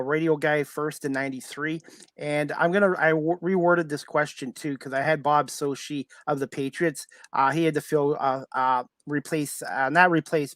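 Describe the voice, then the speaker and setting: Higher-pitched male podcast host, dry sound. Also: no echo. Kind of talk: casual interview questions.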